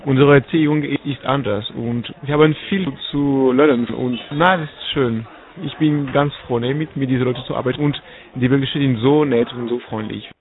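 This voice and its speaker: A man with a French accent answers questions in German, stopping often and repeating words while he looks for the next one. His pitch sits in a middle range for a man. The recording is narrow and tinny, like a phone line on the radio. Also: steady tone, normal speed. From France. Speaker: interviewee. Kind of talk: halting interview answers.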